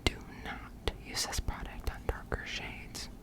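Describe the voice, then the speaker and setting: An American woman doing soft-spoken ASMR, talking in a casual, chatty and informal way. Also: measured pace. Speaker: ASMR creator.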